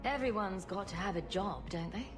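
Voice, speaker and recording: A woman's voice: mid-range voice, animated, moderate pace, music in the background, close to an everyday voice. A woman playing a wisecracking, sarcastic adult heroine in a video game cutscene, with dry delivery. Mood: dry sarcasm.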